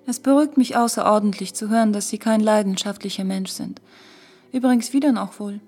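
A woman's voice: mid-range voice, brisk, darkish tone, husky, faint music behind. An actress playing a cool, worldly lady who teases haughtily and drops French phrases. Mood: cool, mocking.

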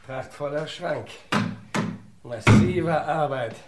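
A man's voice: a little high, slow, very noisy. An actor playing a cool gunman in a radio crime drama, curt, mocking and commanding. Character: cool, sneering male gunman robbing a hotel guest.

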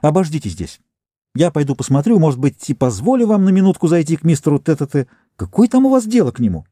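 A man voicing a thin, quick, irritable Martian housewife with a sharp metallic voice, curt and impatient. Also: on the high side, big pitch swings, no room sound, studio quality, pitched above the performer's own voice.

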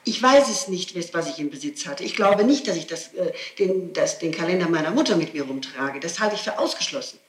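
A woman's voice: fairly low pitch, expressive, a little fast, few pauses, echoey room. A woman answering questions under interrogation, explaining insistently and often repeating herself, on an old 1960s tape. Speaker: suspect being questioned. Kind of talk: spontaneous testimony under interrogation.

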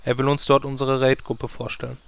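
Man playing a smooth-voiced, deadpan adventurer. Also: mid-range voice, fairly quick, natural voice. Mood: matter-of-fact. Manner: matter-of-fact, deadpan.

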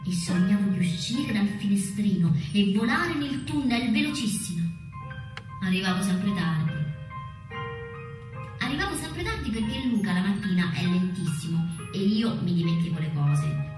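An actress plays a wistful young woman in a radio drama monologue. Her voice sits at an average pitch as she recounts with repetitions. It comes from far off in a very reverberant room, with music clearly behind. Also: lightly acted. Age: young adult. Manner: storytelling with deliberate repetitions. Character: wistful, dreamy young woman recalling childhood dreams. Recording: echoey, distant recording with music behind.

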